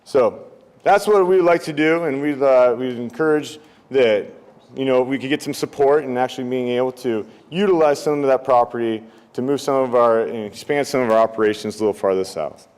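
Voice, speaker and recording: Smooth-voiced man speaking in a reverberant hall. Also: medium pitch, fairly quick, darkish tone. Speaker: fire department representative. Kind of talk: public comment presentation. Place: community meeting room.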